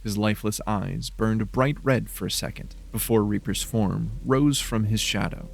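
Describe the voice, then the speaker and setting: A man's voice: lowish voice, moderate pace, vocal fry. A man narrating scripted horror fiction in a dramatic storytelling style.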